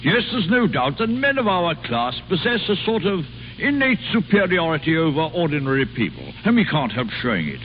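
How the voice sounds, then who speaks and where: Casting: snobbish titled uncle, fairly high voice, grandly expressive, vintage radio comedy. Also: male voice, brisk. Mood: impressed, pompous. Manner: pompous, marvelling. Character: pompous, snobbish aristocratic uncle. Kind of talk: 1930s radio comedy acting. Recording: old radio broadcast, muffled with faint hiss.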